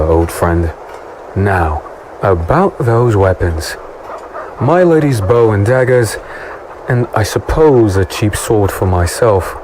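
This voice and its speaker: An actor playing a confident, teasing warrior hero who also narrates a fantasy audio drama, with a creaky voice. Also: male voice, fairly low pitch, a little hiss or hum, low-quality recording. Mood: playful, cocky. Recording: loud music over the voice.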